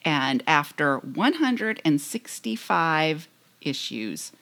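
A woman's voice: sits low, very animated, slow, a bit bright. An American woman in her fifties co-hosting a podcast, sharing trivia in casual conversation. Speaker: podcast co-host.

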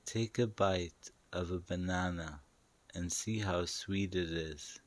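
A man's voice: deep voice, steady tone, slow. A man narrating a guided meditation in a soothing, instructive, hypnotic manner, repeating short phrases.

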